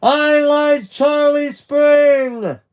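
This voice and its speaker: A man voicing an excitable, affectionate teenage boy in a story, exuberant and eager. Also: high-pitched, takes it slowly, pitched above the performer's own voice, heavily acted. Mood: exuberant confession.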